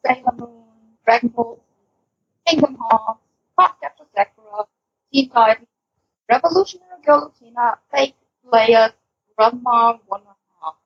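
A woman co-hosting a podcast, talking casually in a husky voice. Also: on the high side, normal speed, pauses often, no echo, highs cut off. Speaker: podcast host.

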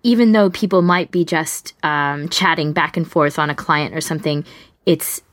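A woman's voice: deep voice, normal speed, dry recording. A woman co-hosting a casual podcast discussion, chatty and explaining her thoughts at length. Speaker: podcast co-host.

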